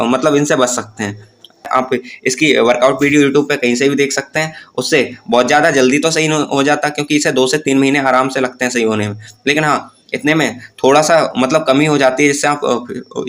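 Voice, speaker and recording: A man's voice: a little high, fairly bright tone. A man giving an informal explanatory lesson to viewers, going step by step in plain terms with English words mixed in. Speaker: presenter of exam-preparation tutorials.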